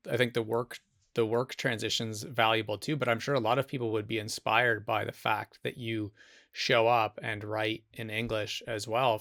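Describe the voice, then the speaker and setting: A man's voice: fairly low pitch, a bit bright, no room sound, clean studio sound. A man hosting a podcast, giving encouraging, direct advice to his guest in casual chat. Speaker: podcast host.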